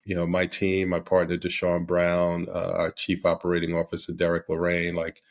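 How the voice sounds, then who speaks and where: Man from Barbados, middle-aged, very deep voice, flat delivery, hesitant interview talk. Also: moderate pace. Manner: hesitant, many fillers and restarts. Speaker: podcast guest, company CEO. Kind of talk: casual interview.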